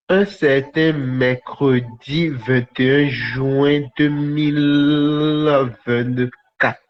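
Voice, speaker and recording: Man preaching, dull lo-fi livestream sound. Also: medium pitch. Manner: repeats phrases for emphasis, often pausing between short phrases. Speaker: preacher hosting a live online prophetic session. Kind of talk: charismatic sermon and prophetic teaching, conversational, addressing viewers.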